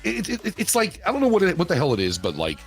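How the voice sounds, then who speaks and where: A man in his late thirties, co-hosting a podcast in casual chat, animated and profane, often asking "you know what I'm saying". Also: sits high, fast, soft background music. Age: 30s. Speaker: podcast co-host.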